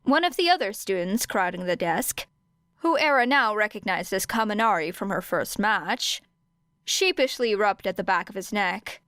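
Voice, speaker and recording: A woman's voice: mid-range voice, expressive, moderate pace, a bit bright, smooth, dry recording, clean studio sound. A woman reading scripted fiction as an audiobook narrator.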